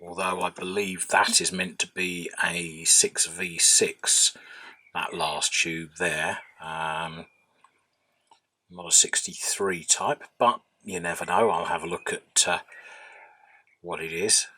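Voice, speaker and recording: A man's voice: sits low, flat delivery, takes it slowly, sharp tone. A man giving an unscripted, hands-on workbench narration as a hobbyist, hesitantly thinking aloud. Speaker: hobbyist radio restorer.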